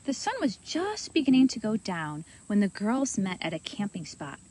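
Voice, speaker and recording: A woman reading a children's storybook aloud as host, in an expressive, animated manner for young listeners. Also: mid-range voice, normal speed, slightly rough, poor audio.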